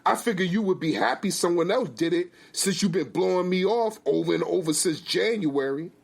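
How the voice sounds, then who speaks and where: A man's voice: sits high, lively intonation, brisk, creaky, pitched above the performer's own voice, full character voice. A man voicing a hot-headed, indignant husband and father who speaks emphatically and with exasperation. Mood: apologetic, defensive.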